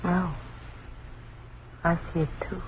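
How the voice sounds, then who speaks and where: A woman's voice: lowish voice, flat delivery, takes it slowly. An actress playing a frightened, fragile mother with a tremulous, pleading manner in an old-time radio horror drama. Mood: hushed dread. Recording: muffled, noisy old radio drama recording.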